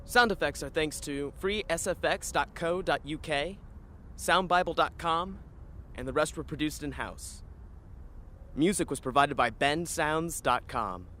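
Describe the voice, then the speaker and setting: A man's voice: a little high, unhurried, bright, forceful tone, slight background noise. A man reading scripted end credits for a radio story as its announcer.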